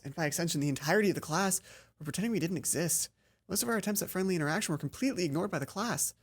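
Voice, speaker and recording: A woman reads a visual novel aloud as a let's play host. Her delivery is very animated and a little low in pitch, slipping into casual commentary, with music playing clearly behind her. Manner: animated, acts out dialogue.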